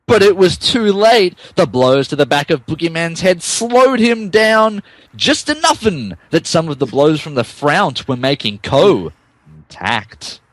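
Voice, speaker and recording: A man's voice: a little high, big pitch swings, measured pace, no echo, low-quality recording. A man giving a dramatic comic reading of fan fiction as a podcast narrator, amused and tripping over the text.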